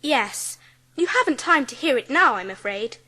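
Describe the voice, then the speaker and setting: A bred young schoolgirl in an audiobook drama. Her voice is very high and childlike, with gentle, earnest, carefully formed speech and a kind, warm-hearted temper. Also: very animated, moderate pace, exaggerated voice. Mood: kind, reassuring.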